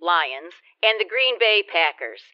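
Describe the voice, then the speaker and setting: A woman's voice: on the low side, sing-song, slow, no room sound. A woman playing an earnest, slightly awkward television sports reporter in an audio play. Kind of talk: broadcast reporter delivery in audio drama. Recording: dull, dry broadcast sound.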